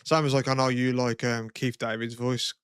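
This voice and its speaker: Laid-back bloke, smooth low voice. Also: male voice, flat delivery, normal speed, a bit bright, no room sound, clean studio sound. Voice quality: low throaty voice. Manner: laid-back, swears a lot, lots of "you know what I mean". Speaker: podcast co-host. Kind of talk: casual chat.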